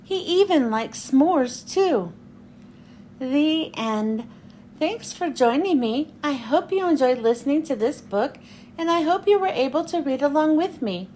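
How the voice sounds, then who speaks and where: A woman hosting a children's read-aloud, telling a picture-book story in an expressive, deliberate way. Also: sits high, a little hiss or hum.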